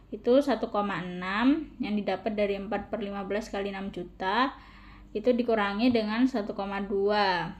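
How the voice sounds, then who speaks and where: A young woman talks through a calculation as a student presenter. Her voice sits at a middle pitch, and she explains informally, one step after another. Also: darkish tone. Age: young adult.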